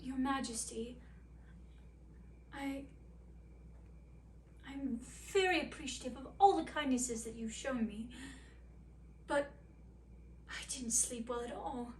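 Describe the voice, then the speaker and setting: A woman's voice: high voice, takes it slowly, long pauses. A woman voicing a polite, delicate young princess who speaks apologetically with a hint of complaint. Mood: complaining. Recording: roomy, distant mic, faint hiss.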